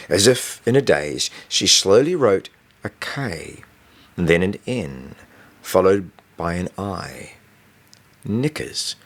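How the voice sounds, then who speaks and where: A man reading a first-person story as a scripted audiobook narration. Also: sits low. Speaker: author reading own novel.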